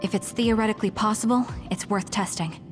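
An actress voices a young anime game heroine, serious and determined, at a medium pitch. Her delivery is firm and direct, with music clearly playing behind her. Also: steady tone, a little fast, natural voice. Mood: serious, resolute. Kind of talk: English anime game dub acting.

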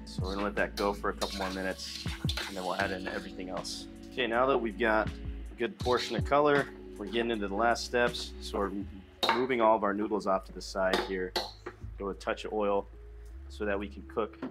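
A young man talking viewers through a recipe in a small studio kitchen set, relaxed and chatty, with music playing underneath. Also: fairly low pitch, a little fast. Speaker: cooking vlogger. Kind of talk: casual cooking demonstration. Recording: clear background music under voice.

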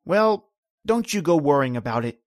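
A man playing a gentle, hopeful husband who speaks softly and wistfully in a radio drama. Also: on the high side, sing-song, moderate pace, a little hiss or hum.

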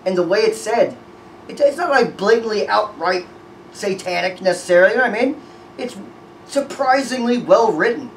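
A young man hosting a music reaction, talking casually with excited, profane, rambling praise. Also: high voice, normal speed, distant mic. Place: small room with red backdrop. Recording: echoey room, voice a bit off-mic, faint noise.

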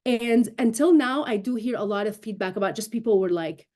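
A woman's voice: on the high side, a little fast, mellow. Articulate Syrian-raised woman, native Arabic speaker. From Syria, raised in Damascus. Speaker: interview guest, broadcast journalist and show host. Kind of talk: remote news interview, explanatory talk. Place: indoor room, video call. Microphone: video call.

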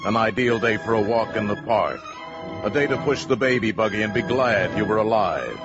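An actor playing a portentous, measured male narrator in a 1950s science fiction radio play. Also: on the low side, close to an everyday voice. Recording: muffled old radio recording, loud music behind.

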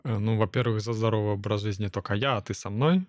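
A young man, a fitness coach and nutritionist, answering podcast questions in a short, laconic way with dry deadpan humor. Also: lowish voice, quick pace, no echo. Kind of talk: casual podcast interview.

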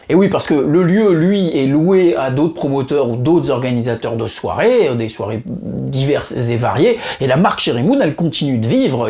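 A man in his thirties hosting his video channel, talking in a chatty, animated way to the camera from a room at home. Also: mid-range voice. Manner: chatty, animated, many fillers. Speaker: YouTube host. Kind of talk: narrated video then interview.

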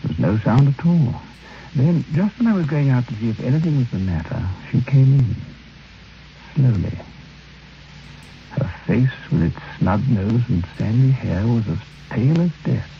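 An actor playing a sombre, troubled man who narrates an old radio drama in grave, measured, literary phrases. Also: medium pitch, expressive, close to an everyday voice. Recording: dull, lightly hissy old radio recording.

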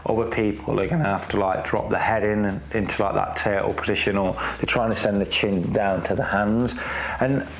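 A man's voice: on the low side, fairly even intonation, normal speed. A man in his forties, a cycling coach, explaining technique to camera in a loose, chatty way full of fillers and restarts, seated in a small room. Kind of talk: casual explanatory talk to camera. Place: small room with grey wall, seated in chair. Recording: echoey room.

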